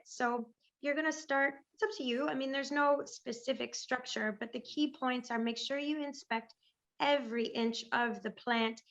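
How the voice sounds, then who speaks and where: A woman recovering from a lost voice, so it squeaks now and then, speaking enthusiastically and off the cuff on a conference panel over a video call. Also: fairly bright tone, dull audio. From Canada. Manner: enthusiastic, chatty, improvising. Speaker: panelist, pest management business owner.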